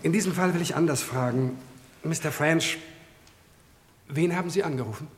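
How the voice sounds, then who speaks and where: An actor playing a stern, accusing prosecutor in an old German radio crime drama, questioning formally and pressing hard. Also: male voice, a little high, moderate pace, lightly acted. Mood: sharp, accusing. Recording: vintage radio play, long hall reverb.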